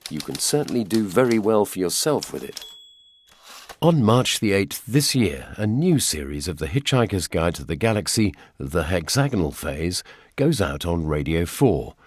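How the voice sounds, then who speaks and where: Deep-voiced older British documentary presenter. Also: male voice, big pitch swings, normal speed. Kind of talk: scripted narration.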